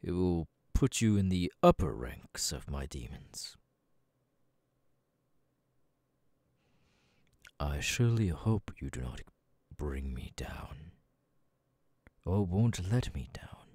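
A man voicing a cold, domineering demon lord in an ASMR audio roleplay, commanding and contemptuous, dropping into breathy murmurs. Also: deep voice, lively intonation, unhurried, dark tone, no echo, exaggerated voice. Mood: imperious, threatening.

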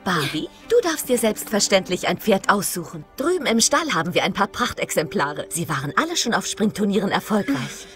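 A woman playing the composed, gracious head of a riding academy, speaking politely and with patient authority, as in an animated film. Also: mid-range voice, brisk, soft background music, close to an everyday voice. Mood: patient, businesslike. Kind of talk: animated film dub acting.

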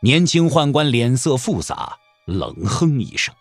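A man's voice: mid-range voice, very animated, a little slow, dry recording. A man narrating a martial-arts audio drama in a scripted, dramatic storytelling style. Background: background music.

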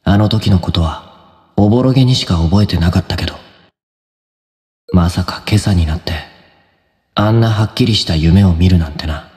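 A man playing an earnest, casual-spoken teenage schoolboy hero in an anime-style game, quick to worry. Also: low-pitched, darkish tone. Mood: brooding. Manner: casual, rough boyish speech. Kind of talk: Japanese anime game acting.

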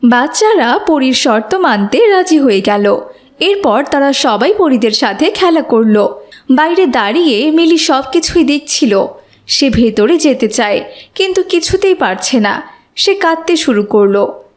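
A woman's voice: high voice, sing-song, measured pace. A woman reading scripted narration for a children's cartoon story.

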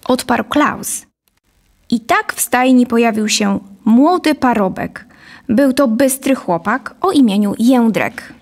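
A woman's voice: a little high, lively intonation, slow, a little mellow. A woman in her thirties reading a scripted story as narrator, close to a studio microphone. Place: dark small studio, indoors. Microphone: studio condenser on boom, close.

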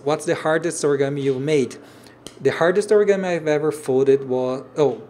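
A man's voice: fairly high pitch, darkish tone. Brazilian-accented man chatting on a livestream. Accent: Brazilian Portuguese. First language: Portuguese. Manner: hesitant, restarts phrases while searching for English words. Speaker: origami designer hosting a livestream. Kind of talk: casual livestream chat reading viewer comments. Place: home room with shelves, indoors.

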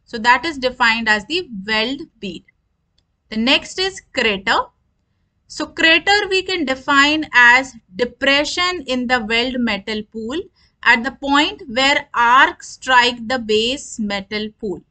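Female lecturer, high-pitched and sharp, methodical technical explainer who restates key points. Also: expressive. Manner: repetitive, deliberate explaining.

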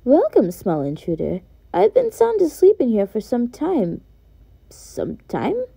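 A woman voicing a drowsy, cryptic bug confessor from a video game, mysterious and measured. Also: fairly high pitch, wildly swooping pitch, creaky, mellow, breathy edge, dry recording, full character voice. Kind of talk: fan dub of video game dialogue.

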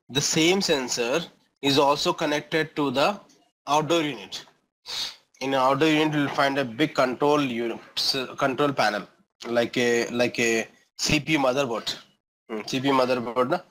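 A man's voice: medium pitch, normal speed, a bit bright, poor audio. A middle-aged man with an Indian accent giving an explanatory lecture as an engineering instructor, often checking understanding with short questions and tags.

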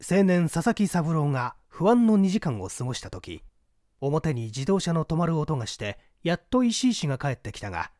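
Expressive male mystery-story narrator. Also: fairly high pitch, no echo, clean studio sound. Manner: measured storytelling with dramatic asides. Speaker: reader.

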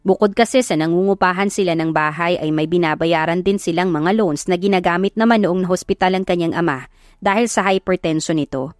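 A woman's voice: on the low side, fairly quick, dry recording, studio quality. A woman with a Filipino accent reading a scripted love story as an audiobook narrator. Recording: background music under the voice. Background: music.

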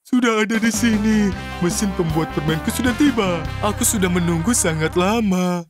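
A man voicing an eager, impatient little cartoon fellow with childlike excitement in a children's cartoon dub. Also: high voice, normal speed, over music, close to an everyday voice. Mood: excited. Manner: excitable, exclamatory.

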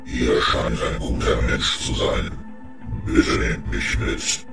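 A man playing a huge, servile hellhound beast in a fantasy audio drama, speaking slowly in pleading, formal phrases. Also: a bass voice, little pitch movement, vocal fry, echoey room, music in the background, full character voice. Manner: slow, pleading, archaic formal speech. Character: huge fearful, servile male hellhound turned tiger beast.

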